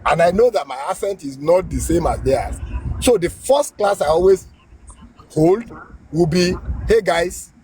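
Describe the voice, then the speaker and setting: A man's voice: high-pitched, expressive, a little slow, darkish tone, poor audio. Nigerian man with a faint hiss behind. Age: adult graduate student. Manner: laughs at himself, repeats phrases, agrees briefly. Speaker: guest. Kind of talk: casual storytelling.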